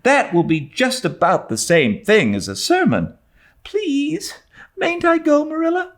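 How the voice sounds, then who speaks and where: Man putting on an excitable, high-pitched young girl. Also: measured pace, full character voice. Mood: pleading, mournful. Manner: effusive, dramatic, breathless pleading.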